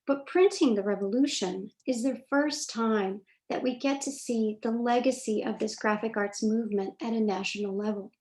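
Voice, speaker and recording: A woman moderating a virtual talk, reading a scripted introduction in measured, formal phrasing over a video call. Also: a little high, darkish tone.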